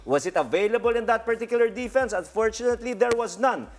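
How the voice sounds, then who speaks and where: A middle-aged man, a coach explaining basketball plays in a deliberate, emphatic teaching manner in a TV studio. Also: very high voice, slight background noise. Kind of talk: explanatory play breakdown.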